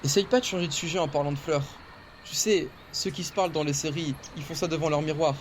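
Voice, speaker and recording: Un homme jouant un ado taquin et bavard. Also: male voice, fairly high pitch, fairly even intonation, quick pace, a little hiss or hum, lightly acted. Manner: playful, chatty. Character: teasing cheerful teenage boy friend.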